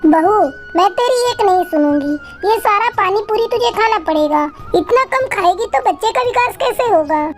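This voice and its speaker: Casting: woman as doting cartoon bird mother-in-law, very high-pitched, insistent, motherly, faint music behind. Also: lively intonation, normal speed, few pauses. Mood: fussing, affectionate.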